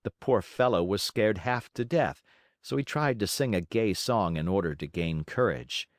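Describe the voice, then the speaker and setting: A man's voice: fairly low pitch, animated, normal speed, dry recording, studio quality. A man narrating a children's story as an audiobook reader, in a theatrical, emphatic way.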